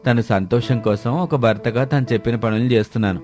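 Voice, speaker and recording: A man's voice: medium pitch, fairly even intonation, brisk, a little mellow, faint music behind, close to an everyday voice. A man voicing a dutiful, hesitant young husband and son in a story, mild and pleading. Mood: friendly, resigned.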